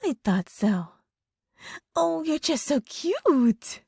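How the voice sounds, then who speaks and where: A woman performs a possessive young witch girlfriend from an ASMR audio drama. Her voice sits a little high and moves slowly, stretching words in a sugary coo. Underneath the sweetness runs a controlling, gaslighting menace. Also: very animated, vocal fry, darkish tone, dry recording, studio quality, heavily acted. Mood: cooing, gleeful.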